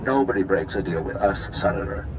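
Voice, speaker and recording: A man's voice: sits low, animated, fairly quick. A man playing a menacing, insistent gangster in a video game, through a transmission filter. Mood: threatening. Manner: threatening, insistent. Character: menacing male gangster making demands. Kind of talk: video game cutscene acting. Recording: filtered message with clear noise.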